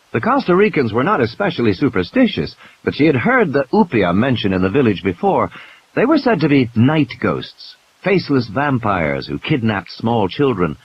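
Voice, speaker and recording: A man's voice: fairly low pitch, highs cut off. A man reading scripted dramatic narration as the storyteller of an audiobook. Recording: clear background hiss and noise.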